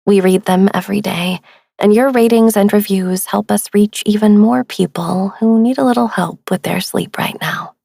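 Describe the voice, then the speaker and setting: A woman hosting a sleep meditation podcast, narrating in a soft, breathy voice with a gentle, soothing, unhurried delivery. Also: medium pitch, dry recording, studio quality. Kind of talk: guided relaxation, scripted podcast narration. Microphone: close studio microphone, dry.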